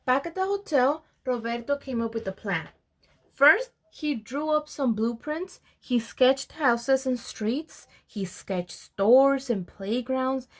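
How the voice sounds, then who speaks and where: Slow, high-voiced woman reading a storybook. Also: lively intonation. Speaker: program administrator reading aloud. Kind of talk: children's storybook read-aloud.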